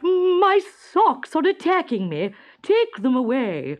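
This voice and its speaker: A woman voicing a fussy, excitable king in a storybook, blustering and complaining. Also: high-pitched, takes it slowly, lo-fi sound, pitched above the performer's own voice, full character voice. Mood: panicked.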